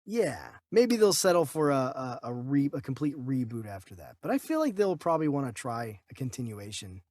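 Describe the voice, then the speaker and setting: A man's voice: medium pitch, very animated, fairly quick, a little mellow, dry recording, clean studio sound. A man chatting casually about movie news as a podcast co-host.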